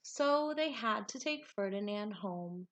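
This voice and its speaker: A woman reading a children's storybook aloud like a teacher, with dramatic, deliberate phrasing and emphatic words. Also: medium pitch, lively intonation, fairly bright tone, muffled recording.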